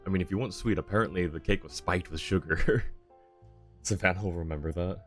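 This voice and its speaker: A man with a deep voice streams a game. He talks in a casual, rambling, conversational way, with music playing in the background. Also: a little fast. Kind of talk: casual live stream commentary.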